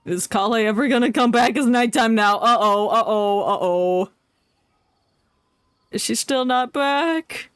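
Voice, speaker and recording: A woman's voice: on the high side, unhurried, clear, resonant tone, no echo. A woman livestreaming a game with casual, jokey commentary, giggly and mock-dramatic. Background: game music.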